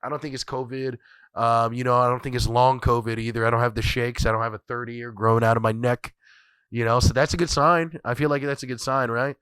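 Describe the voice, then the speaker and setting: A man with a stuffy, scratchy voice from a cold hosts his podcast. He rambles and repeats himself, swearing freely, at a middling pitch. The sound is close and dry. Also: little pitch movement, fairly quick, a bit bright, smooth, no echo. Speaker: podcast host. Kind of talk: casual profane solo commentary.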